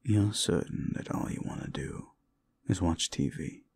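A man's voice: low-pitched, creaky, darkish tone, breathy edge, exaggerated voice. A man voicing a condescending, seductive demon deal-maker in an audio roleplay, speaking in a theatrical, mocking drawl. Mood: teasing, seductive. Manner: slow, condescending, theatrical drawl with amused chuckles. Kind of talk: scripted audio roleplay addressed to the listener.

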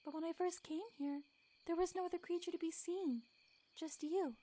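A woman voicing a timid, gentle young female pony in a cartoon, speaking hesitantly and apologetically. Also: very high-pitched, steady tone, moderate pace. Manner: hesitant, apologetic, softly earnest. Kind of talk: animated fan drama acting.

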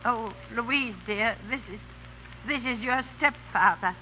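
A woman's voice: fairly high pitch, measured pace, natural voice. An actress playing a tender, flustered mother in a 1930s radio drama, speaking hesitantly. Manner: hesitant, faltering. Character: flustered, tender mother posing as a society lady. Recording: muffled 1930s radio recording with music.

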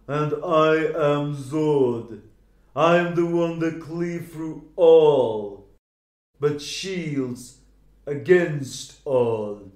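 A man voicing a heavy, relentless living armor that speaks in deliberate declarations. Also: fairly high pitch, echoey room.

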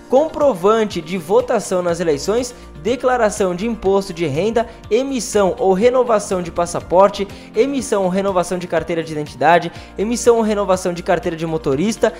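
A man's voice: high-pitched, a little mellow. A Brazilian man with a Brazilian Portuguese accent, hosting a news commentary on pension benefits, talking straight to viewers and leaning on filler words. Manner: addresses viewers directly, repetitive filler "aí". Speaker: channel host. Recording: faint background music.

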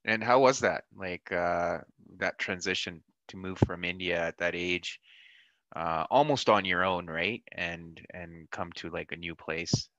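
Low-voiced man hosting a podcast. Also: a little slow, sharp tone, dry recording, muffled recording. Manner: friendly, backchannels often. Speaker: podcast host. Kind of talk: casual interview.

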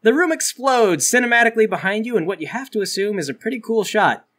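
A man's voice: sits high, lively intonation, quick pace, a bit bright. A man working as game master, improvising narration for a tabletop game.

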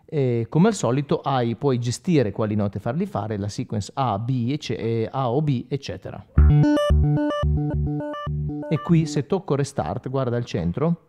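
A man's voice: mid-range voice, animated, measured pace, dark tone. A man giving a casual, spontaneous product tutorial as a guitarist, in broken, informal phrases. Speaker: guitarist demonstrating an effects pedal. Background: electric guitar with effects.

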